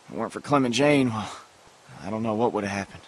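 A man playing a nervous, modest young survivor in a video game drama, husky and hesitant. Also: lowish voice, quick pace, slight background noise, close to an everyday voice. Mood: uneasy.

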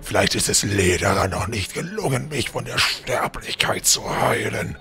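A gravelly, sneering old villain. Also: male voice, lowish voice, expressive, normal speed, pushed and bright. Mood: menacing gloating. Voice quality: gravelly, creaky. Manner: sneering, theatrical, menacing. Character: old villainous burgrave swollen into a demonic troll. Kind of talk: fan audio drama acting.

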